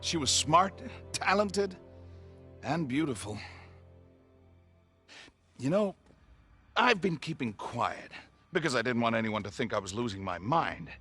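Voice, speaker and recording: An older scientist in a game cutscene, grieving yet gentle. His mid-pitched voice moves expressively as he reminisces in a halting, earnest way. Soft music plays behind him. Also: lively intonation, breathy edge, heavily acted. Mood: earnest, hesitant. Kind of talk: video game cutscene voice acting.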